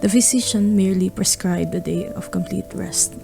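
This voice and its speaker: A woman's voice: mid-range voice, normal speed, creaky, music in the background. A woman narrating a radio drama, reading a scripted story.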